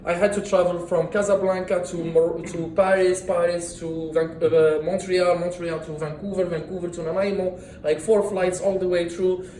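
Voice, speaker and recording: Guy, 30s, earnest club speech, fairly high voice, keeps restarting words, echoey meeting room. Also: level tone, normal speed, hardly any pauses, warm tone, slight background noise. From Morocco. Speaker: club speaker. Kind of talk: prepared public speech.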